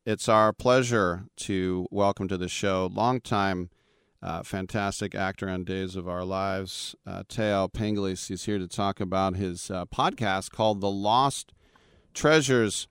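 A man's voice: sits low, steady tone, unhurried, fairly bright tone, smooth, no echo, studio quality. An American man hosting a sports talk radio show in a casual style.

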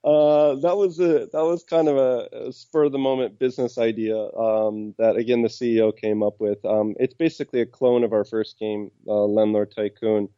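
A man's voice: medium pitch, fairly even intonation, normal speed, few pauses, dark tone, dry recording, muffled recording. A man from the United States with an American accent, answering interview questions about his game company in a hesitant way, full of ums and restarts.

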